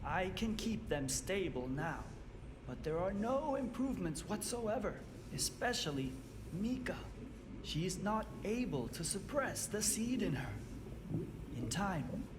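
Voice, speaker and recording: An actor playing a weary, methodical doctor in a video game cutscene, speaking in a measured, clinical way. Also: male voice, high-pitched, sing-song, noisy background. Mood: grave, resigned. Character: weary male doctor tending patients, grave and methodical.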